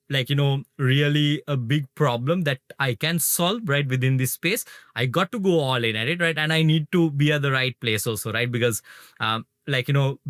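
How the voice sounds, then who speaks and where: A man's voice: on the high side, fairly bright tone, clear, resonant tone, no room sound, clean studio sound. Man from Sri Lanka, filler-heavy talk. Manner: many fillers, keeps adding "right?" and "like, you know". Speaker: startup founder, guest. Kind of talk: casual interview talk.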